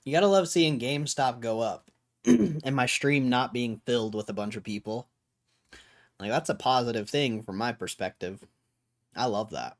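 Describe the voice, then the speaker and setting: A young man hosts a livestream in a loose, rambling way, talking straight to his chat. His voice is hoarse from hours of talking, and he keeps clearing his throat. He sits at a middle pitch for a man. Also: moderate pace. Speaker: livestream host.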